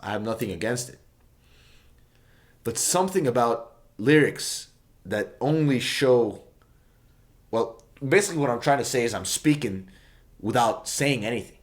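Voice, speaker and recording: A man's voice: medium pitch, lively intonation, a little slow, long pauses. A young man from Saudi Arabia, a native Arabic speaker, casually explaining the meaning of his song in a meandering, self-correcting way with many fillers.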